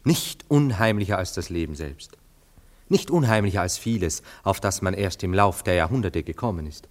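Deep-voiced brooding gentleman lover for a radio drama: jealous, cynical, eloquent, self-dramatising. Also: expressive, normal speed. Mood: musing, lecturing. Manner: theatrical, eloquent, musing monologues with emphatic swings. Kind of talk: German literary radio play acting.

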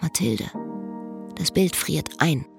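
A melancholy woman in a literary radio drama. Her voice sits slightly low, and she speaks in a measured, pensive way with dry wit. Faint music runs behind her. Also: gravelly, full character voice. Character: melancholy, wry woman narrating her own story. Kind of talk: literary radio play, inner monologue and dialogue.

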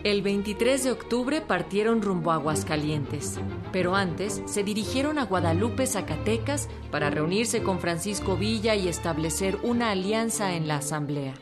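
Una mujer que narra hechos históricos en un radioteatro con una entrega pareja e informativa. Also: female voice, mid-range voice, normal speed. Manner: even, informative delivery. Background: music.

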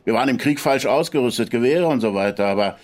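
A man's voice: medium pitch, lively intonation, fast talker, darkish tone, close to an everyday voice. Actor as chatty war-veteran forensics man. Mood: relishing, reminiscent. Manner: talkative, vivid, relishing. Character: chatty male forensics officer, war veteran relishing grisly detail. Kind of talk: German radio drama acting.

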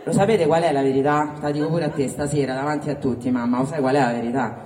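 A woman's voice: very deep voice, fairly even intonation, quick pace, mellow. A woman with a Roman Italian accent performing stand-up in a theatrical, emphatic, mock-indignant way. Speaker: stand-up comedian. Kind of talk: stand-up comedy on stage. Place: live indoor stage before audience. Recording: echoey venue, distant mic, crowd noise.

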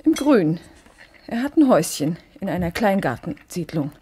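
An actress playing a young wife in a radio drama, reproachful and biting, with cutting, exasperated delivery. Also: female voice, lowish voice, big pitch swings, normal speed, darkish tone, slight background noise. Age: young adult. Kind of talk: German radio drama acting.

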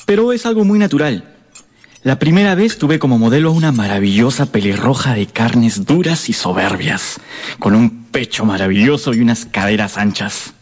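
A man's voice: mid-range voice, lively intonation, normal speed, slightly rough, big-room sound. A man acting in a radio drama as a confident, hearty and sensual painter of peasant stock. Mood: smooth, self-assured.

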